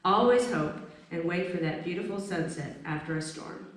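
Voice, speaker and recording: A woman's voice: on the low side, moderate pace. A woman presenting at a live event, introducing pieces and reading aloud. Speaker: event presenter, stand-in reader. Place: indoor event space, recorded from a distance. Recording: very echoey room, distant mic, faint noise.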